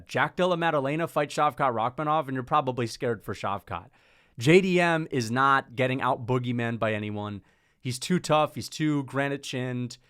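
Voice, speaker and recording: A young man giving casual commentary on fighters in an animated, opinionated rant, swearing freely and tossing in rhetorical asides. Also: medium pitch. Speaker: MMA commentary YouTuber.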